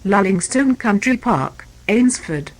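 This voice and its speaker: A woman's voice: medium pitch, expressive, slow pace. A woman delivers a scripted emergency announcement. She is formal and impersonal, with a mid-range voice and strongly varied intonation.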